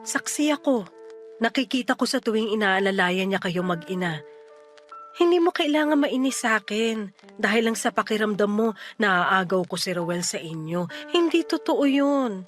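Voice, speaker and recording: A woman playing a worried, caring young friend in a Filipino radio drama, earnest and gently insistent, with a Filipino accent. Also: mid-range voice, animated, moderate pace, natural voice. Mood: earnest, reassuring. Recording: music behind the voice.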